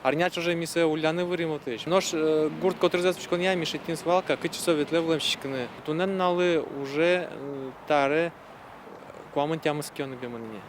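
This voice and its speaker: A man giving a hesitant interview comment, pausing on fillers. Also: a little high, fairly even intonation, a little hiss or hum.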